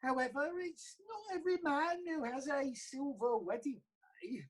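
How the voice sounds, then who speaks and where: A woman playing a fussy, proper male court clerk in a theatrical comedy reading, husky, formal and a little bashful. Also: high voice, normal speed, natural voice. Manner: hesitant, formal, slightly bashful.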